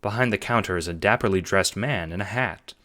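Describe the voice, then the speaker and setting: A man's voice: low voice, fairly quick, pushed and bright. A man reading scripted second-person narration for an audio drama.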